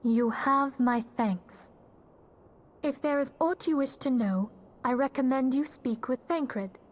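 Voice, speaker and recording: A fantasy game's leader of a secret order, a woman with a fairly high voice. She speaks formally and courteously, in measured phrases, with composed authority. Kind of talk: video game cutscene acting.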